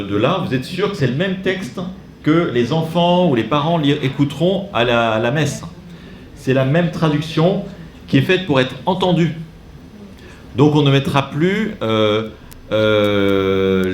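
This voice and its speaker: A man's voice: medium pitch, a little hiss or hum. A man giving an informal training talk to catechists, chatty and digressive with self-mocking asides while demonstrating on a computer. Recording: echoey room, faint ambience.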